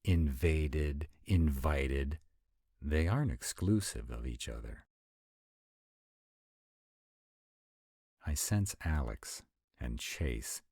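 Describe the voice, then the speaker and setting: A man performs a theatrical supernatural gentleman in a roleplay audio drama. The voice is very deep and soft-edged, with a mellow, husky grain. Delivery is unhurried and swings widely in pitch. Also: dry recording, studio quality, exaggerated voice. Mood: dry.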